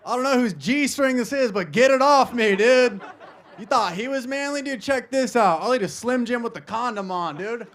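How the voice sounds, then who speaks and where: A man's voice: very high-pitched, moderate pace, fairly bright tone, slight background noise. An American man hosting a stand-up show with brash, mock-tough bro talk, tacking dude onto his sentences. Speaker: comedy show host.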